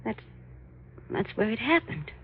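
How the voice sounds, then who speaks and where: An actress playing a seductive, menacing femme fatale in a 1940s radio horror mystery, cool, cryptic and coaxing. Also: female voice, fairly high pitch, very animated, a little slow, a little hiss or hum. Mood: tender. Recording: dull old radio recording.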